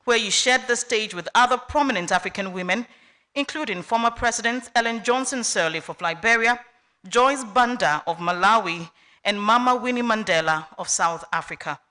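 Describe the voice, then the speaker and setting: A woman reading an honorary degree citation at a university ceremony in a measured, deliberate way. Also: on the high side, sharp tone. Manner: measured, deliberate list-by-list delivery. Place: university lecture hall.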